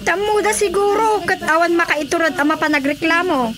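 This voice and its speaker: An actress playing an excitable, nosy woman with a Filipino accent in a radio drama comedy. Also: extremely high pitch, brisk, a crowd in the background. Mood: excited, shocked.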